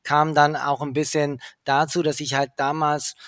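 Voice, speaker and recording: A man in his forties who grew up in England, chatting as a guest on a dry-sounding recording. His voice sits a bit high. He rambles, breaks off and restarts his sentences, and speeds up in quick bursts. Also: level tone, fairly bright tone, no room sound. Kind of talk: casual conversation.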